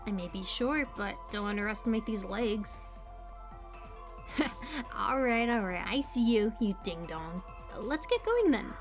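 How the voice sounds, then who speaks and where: A woman playing a bubbly, quirky young small-town local in an audio roleplay, rambling casually with playful, high energy and lots of filler words. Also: sing-song, unhurried, music in the background. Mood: playful, eager.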